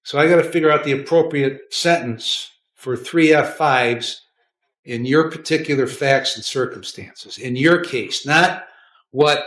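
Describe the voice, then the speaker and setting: A blunt American man with a medium-pitched voice, speaking as a judge in a courtroom. He questions and lectures in plain, repetitive phrases, often tagging them with a short check for agreement. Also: a little slow, fairly bright tone.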